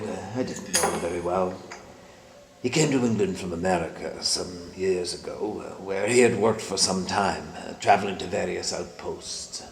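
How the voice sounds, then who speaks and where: An older man playing a grave, guarded, pious cardinal in a period detective drama, speaking in a formal, measured way. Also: low voice, vocal fry. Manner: formal, measured, hesitant, sanctimonious. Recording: echoey room with faint noise.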